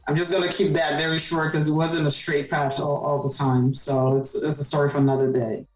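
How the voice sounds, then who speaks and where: A woman's voice: low voice, flat delivery, brisk, a little mellow, recorded from a distance. A woman in her thirties, a podcast guest telling her story in an earnest, reflective way. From Cameroon. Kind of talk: casual conversation. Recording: roomy, off-mic sound.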